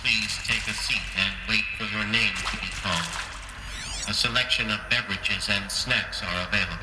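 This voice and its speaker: A man's voice: fairly low pitch, steady tone, moderate pace, lightly acted. A man voicing an automated facility system, giving courteous, formal and impersonal announcements. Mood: polite, impersonal. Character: polite automated male facility welcome system. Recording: echoey, music behind. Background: music.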